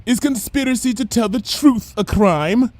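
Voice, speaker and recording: A man voicing a vague, unworried female unicorn in a story, with a knowing, unhurried manner. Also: extremely high pitch, darkish tone, dry recording, pitched above the performer's own voice. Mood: calm, unbothered. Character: dreamy, unworried female unicorn obsessed with secret truths.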